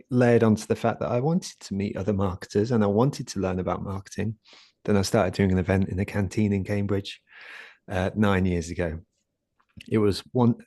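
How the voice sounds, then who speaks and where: A man speaks quietly over a video call, his low, warm voice hesitant and full of restarts and fillers. The sound is close and dry. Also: no room sound. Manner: soft-spoken, hesitant, lots of fillers and restarts. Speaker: guest speaker, community founder. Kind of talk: conversational talk in an online session.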